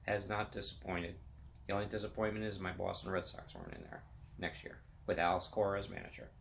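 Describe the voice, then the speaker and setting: Upbeat gravelly man in his fifties. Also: low voice, lively intonation, brisk, slight background noise. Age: 50s. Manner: enthusiastic, upbeat, motivational. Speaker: vlog host. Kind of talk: casual sports and fitness chat.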